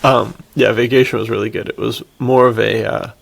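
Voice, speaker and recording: A man's voice: mid-range voice, steady tone, brisk, gravelly, breathy edge, rough recording. A man hosting a podcast, chatting casually in informal, slangy language like he is among friends. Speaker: podcast host. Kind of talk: casual banter between friends.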